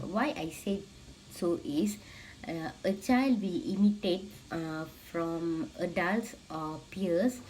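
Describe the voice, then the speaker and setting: A woman's voice: fairly low pitch, warm tone, slight background noise, low-quality recording. A young woman explaining points in a student presentation, with some hesitant restarts. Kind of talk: class presentation.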